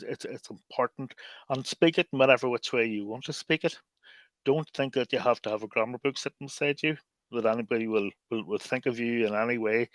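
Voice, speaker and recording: A man's voice: mid-range voice, a bit bright, dry recording. A man whose first language is English, speaking as a guest in a podcast interview in a thoughtful way, with frequent fillers and restarts. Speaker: lexicographer and guest.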